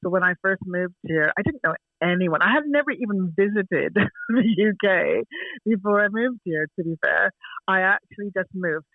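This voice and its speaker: A woman's voice: medium pitch, lively intonation, normal speed, creaky, dry recording. A Canadian woman in her forties, a chatty guest who tells stories and laughs often. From Canada, Toronto. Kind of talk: casual chat over a call. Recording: dry tinny phone line.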